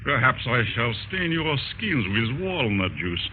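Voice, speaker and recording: A man's voice: mid-range voice, sing-song, a little slow. Menacing madman, crackly old radio. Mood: gloating menace. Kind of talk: old-time radio drama acting. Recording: noisy, narrow-band old radio recording.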